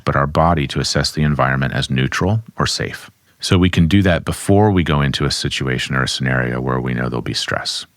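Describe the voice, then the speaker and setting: A man, a somatic therapist, calmly explaining and demonstrating grounding exercises at his desk. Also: very deep voice, no room sound, clean studio sound. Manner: calm, instructive, speaks while demonstrating.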